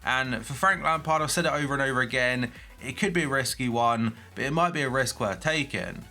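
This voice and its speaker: Young male newsreader, groggy from hay fever, bright forward tone, plain mid pitch, steady delivery with brief asides. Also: fairly quick, soft background music. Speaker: football transfer news youtuber. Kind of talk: reading transfer news roundup.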